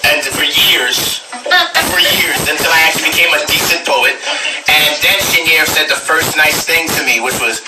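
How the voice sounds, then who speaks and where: A man with a fairly high voice gives a loose, anecdotal speech at a live event, joking and candid, repeating phrases for emphasis. He sounds a little distant in a roomy, echoing space, with music clearly playing behind him. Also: moderate pace. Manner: candid, joking, frequent laughter and swearing; emphatic repetition. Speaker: poet and teacher giving a tribute speech. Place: indoor book release event. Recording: echoey room, distant mic, music behind.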